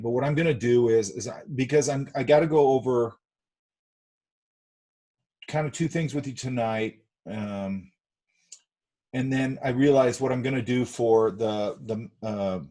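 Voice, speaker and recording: Husky rambling male art teacher. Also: medium pitch, darkish tone. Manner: rambling, many fillers and restarts. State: sniffly, coming down with a cold. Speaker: art instructor. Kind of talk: online class lecture. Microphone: video call.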